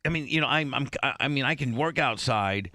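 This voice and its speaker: A man hosting a morning radio show, talking casually in halting, rambling bursts with many restarts, sounding a bit congested. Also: medium pitch, expressive, bright, forceful tone, no room sound, studio quality. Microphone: studio microphone.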